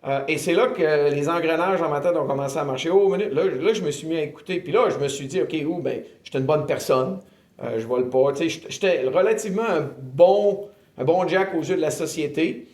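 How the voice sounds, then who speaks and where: A man in his fifties with a Québécois accent, earnestly telling his faith testimony in an interview, often pausing with filler sounds. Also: fairly high pitch, darkish tone. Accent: Québécois French. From Quebec, Canada. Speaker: ministry director giving testimony. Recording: roomy echo.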